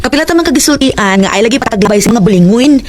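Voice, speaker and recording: A woman's voice: fairly high pitch, animated, rapid-fire, over music, lightly acted. An actress with a Cebuano accent playing a young working woman, an earnest girlfriend, in a radio drama. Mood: bossy. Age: young adult.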